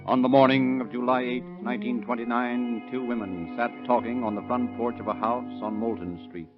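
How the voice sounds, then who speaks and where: A man's voice: mid-range voice, steady tone, normal speed. A man doing scripted narration as a 1930s radio announcer. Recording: muffled vintage 1930s radio recording, loud music.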